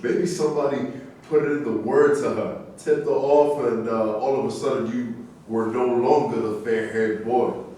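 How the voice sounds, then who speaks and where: A man's voice: mid-range voice, steady tone, moderate pace, warm tone, lightly acted. A man in a staged reading, playing a mocking, needling self-made man. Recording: very echoey room, distant mic, faint noise.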